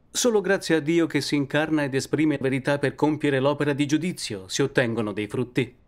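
A middle-aged man preaching a sermon, seated at a desk in a study with bookshelves, explaining in a calm, earnest way. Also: medium pitch.

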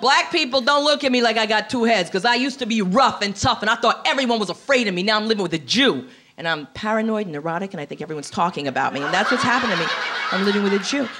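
A middle-aged American woman doing stand-up into a handheld mic on a club stage, sassy, animated and full of slang. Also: medium pitch, fast. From Paterson, New Jersey, United States. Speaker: stand-up comedian. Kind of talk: stand-up comedy. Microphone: handheld stage microphone.

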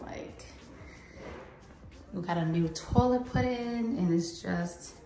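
Gushing, slow-paced woman in an echoey room. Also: mid-range voice, warm tone. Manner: gushing, drawn-out emphasis. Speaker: vlogger. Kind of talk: casual home renovation tour. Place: small tiled bathroom indoors, being remodelled. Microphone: handheld phone behind the camera. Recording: echoey bare bathroom.

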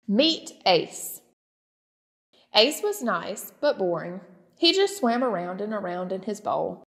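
A woman's voice: medium pitch, big pitch swings, normal speed, gravelly, fairly bright tone. Woman reading a storybook in a reverberant room. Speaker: storybook reader. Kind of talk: children's read-aloud narration.

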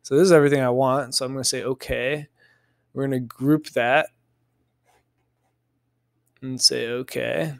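Male software instructor, mid-pitched, clean and dry sound, casual step-by-step screen narration. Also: fairly even intonation, a little slow, vocal fry, pauses often, dry recording. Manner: informal, thinking aloud step by step.

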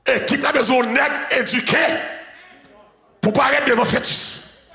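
Haitian pastor in his forties, bright forceful delivery, slow and chanting, handheld mic in a church hall. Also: extremely high pitch, fairly even intonation, vocal fry. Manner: shouting, chanting repetitive preaching. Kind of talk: sermon. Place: large church sanctuary with congregation. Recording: echoey church hall, organ music.

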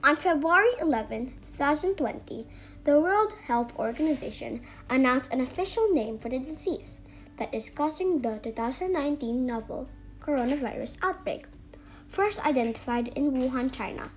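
A woman voicing a calm, reassuring doctor puppet, explaining carefully in a scripted children's educational show. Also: sits high, moderate pace, soft background music, rough recording. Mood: instructive.

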